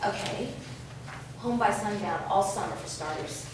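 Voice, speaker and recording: A woman's voice: mid-range voice, unhurried, close to an everyday voice. A woman playing a stern, worried mother of a teenage girl in a staged reading of a drama. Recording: echoing room, distant mic, clear background noise.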